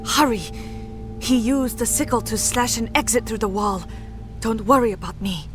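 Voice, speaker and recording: High-voiced solemn woman warrior of an ancient order played by an actress. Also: moderate pace, soft background music, close to an everyday voice. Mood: anguished, urgent. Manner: earnest, formal, dramatic delivery. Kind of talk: dramatic video game voice acting.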